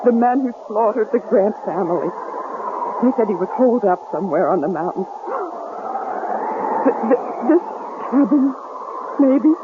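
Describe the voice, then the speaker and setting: An actress playing an anxious, strong-willed wife who argues insistently in a radio drama. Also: female voice, medium pitch, lively intonation, normal speed, pauses often, lightly acted. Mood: terrified. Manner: insistent, argumentative. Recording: noisy, tinny old radio drama recording.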